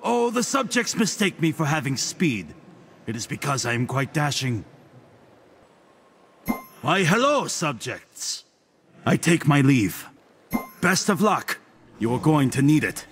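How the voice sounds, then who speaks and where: A haughty god sage from a video game, speaks high and bright with big swoops in pitch. He is pompous and smugly witty, delivering every line with theatrical flair over music. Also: sing-song, unhurried, long pauses, exaggerated voice. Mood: smug mocking. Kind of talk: video game character voice lines.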